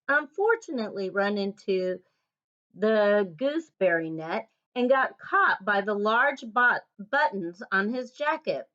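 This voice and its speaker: A woman reads a storybook aloud for children at a very slow pace, pausing between short phrases. Her pitch sits in the middle range. The recording is dull and lo-fi. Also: fairly bright tone. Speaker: storybook reader.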